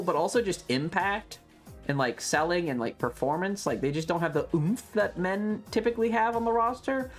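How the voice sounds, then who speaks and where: Livestreamer, mid-pitched voice, casual chat, opinionated, rambling, emphatic, sweary, music in the background.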